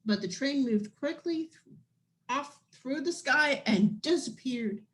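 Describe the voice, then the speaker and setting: A woman reading a children's picture book aloud expressively, with deliberate phrasing and drawn-out emphasis. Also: high-pitched, expressive, rough recording. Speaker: picture book reader, planetarium director.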